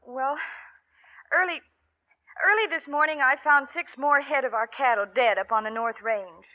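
A woman's voice: high-pitched, normal speed, close to an everyday voice. An actress playing a sweet, worried young ranch woman in a 1950s radio drama. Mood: troubled. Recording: tinny band-limited old radio broadcast.

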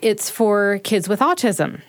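A woman's voice: mid-range voice, lively intonation, slow pace. A woman being interviewed, casually telling a personal story and acting out remembered dialogue. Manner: conversational, acts out remembered dialogue. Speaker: interviewed parent.

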